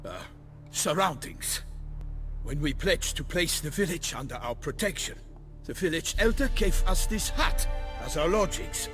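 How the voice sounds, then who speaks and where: Chivalrous knight, fairly high voice, hearty, courteous, archaic fantasy game speech, loud music behind. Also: male voice, lively intonation, a little slow. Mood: courteous, earnest. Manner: formal, florid, hearty. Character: cheerful, chivalrous knight errant, courteous and eager.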